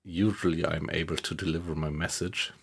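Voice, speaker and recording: A man with a German accent chatting casually with viewers while playing a game, in a relaxed, hesitant way. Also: low-pitched, a little fast, creaky, slightly rough. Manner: relaxed, hesitant, often chuckling. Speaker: game streamer.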